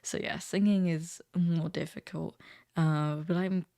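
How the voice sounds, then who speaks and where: A teenage man with a crackly, recently deepened voice, chatting casually and ramblingly as a vlogger. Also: fairly even intonation, a little slow, gravelly, mellow, no room sound, clean studio sound. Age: teens. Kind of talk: casual chat to camera.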